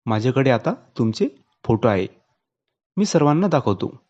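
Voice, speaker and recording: A man's voice: mid-range voice, very animated, normal speed, a little mellow, highs cut off, close to an everyday voice. A man voicing a young male student in a narrated story, blunt and insistent. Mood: accusing. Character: young male student, infatuated, later coercive.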